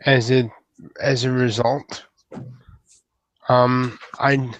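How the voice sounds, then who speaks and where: A nervous young troll, played by a man in a tabletop game, picks his way through formal, apologetic sentences. He speaks slowly at a middling pitch, stammering politely, in a close, dry sound. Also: flat delivery, no echo, rough recording. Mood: hesitant, formal.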